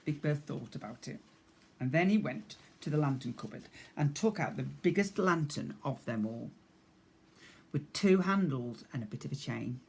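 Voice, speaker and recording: Expressive man reading a children's storybook. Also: on the high side, gravelly, slightly rough. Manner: measured, expressive.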